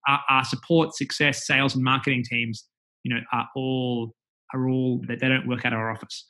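A man's voice: mid-range voice, flat delivery, normal speed, sharp tone. A man with an Australian accent, a company executive talking as a guest in a casual interview, chatty and full of fillers and restarts.

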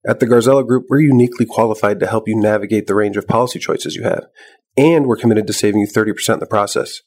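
A man's voice: fairly low pitch, steady tone, fast talker, darkish tone. A man reading a scripted radio-style ad. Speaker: ad announcer. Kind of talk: scripted ad read. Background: faint music.

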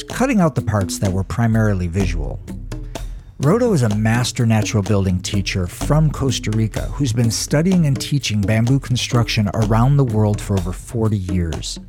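A man hosting a podcast, reading a scripted intro and then interviewing a guest outdoors with short, encouraging questions. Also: medium pitch. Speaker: podcast host. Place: outdoors on a farm. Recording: music bed under the intro.

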